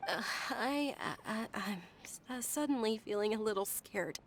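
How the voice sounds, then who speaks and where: A woman voicing a timid, gentle young female companion in video game dialogue. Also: on the high side, lively intonation, moderate pace, a little hiss or hum, close to an everyday voice. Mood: nervous.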